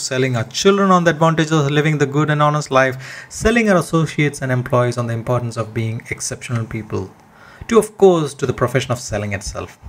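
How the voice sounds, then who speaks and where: A man with a husky voice reading a motivational speech aloud in an earnest, emphatic, deliberately phrased way. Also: mid-range voice. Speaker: reader of a self-help speech.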